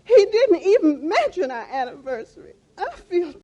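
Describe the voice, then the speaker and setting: A sentimental, wounded wife from a vintage radio comedy. Her high voice swoops expressively through plaintive, folksy lines. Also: big pitch swings, normal speed, warm tone, muffled recording. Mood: hurt. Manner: plaintive, emotional, folksy grammar. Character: hurt, sentimental wife of twenty years. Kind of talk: 1940s radio comedy acting.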